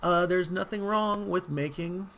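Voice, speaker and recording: A man hosting a casual software tutorial, talking in a rambling, informal way with wry asides. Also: sits high, unhurried, gravelly, slight background noise. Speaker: tutorial host.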